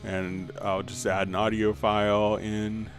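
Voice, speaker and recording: A man hosting a casual live music software tutorial, answering viewer questions in a halting, thinking-aloud way. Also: fairly low pitch, level tone.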